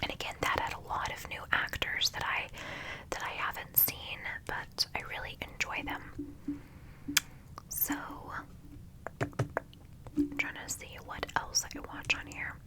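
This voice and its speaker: A young woman chatting in a soft whisper for an ASMR video, gushing with lots of ums, her voice stuffy and nasal from congestion. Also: slow pace, long pauses, low-quality recording. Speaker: ASMR creator.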